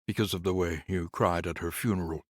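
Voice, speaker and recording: A man playing a calm, watchful investigator who speaks low and measured in an audiobook. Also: no echo, clean studio sound. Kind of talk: audiobook dialogue.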